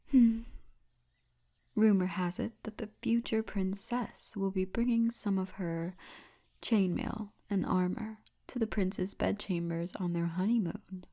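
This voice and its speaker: Woman playing a soft, mellow female orc guide. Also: mid-range voice, steady tone. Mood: amused, gossipy. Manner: soft, intimate, unhurried storytelling, often breathy. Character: friendly, teasing female orc tour guide, gentle and candid. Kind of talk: ASMR roleplay, addressed to the listener.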